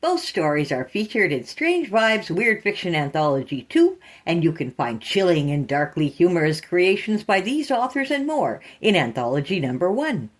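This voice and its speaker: A woman's voice: on the low side, big pitch swings, normal speed, few pauses. A woman hosting and narrating scripted horror fiction in a theatrical, sinister storytelling manner.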